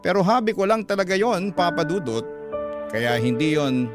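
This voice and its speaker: Male radio drama narrator, slightly high pitch, reading a personal letter as a story, clear music bed. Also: big pitch swings, normal speed.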